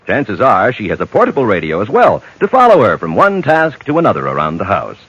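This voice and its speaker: A man's voice: lowish voice, huge pitch swings, a little fast, slightly rough, no echo. An American man working as a 1950s radio announcer, reading a script with polished, emphatic, dramatic phrasing. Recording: muffled old radio broadcast, loud music.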